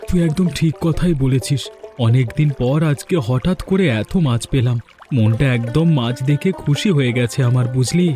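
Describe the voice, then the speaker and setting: A man voicing a blunt, short-tempered adult fisherman in a cartoon, gruff and scolding. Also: mid-range voice, normal speed, warm tone, soft background music, lightly acted. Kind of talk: cartoon dub acting.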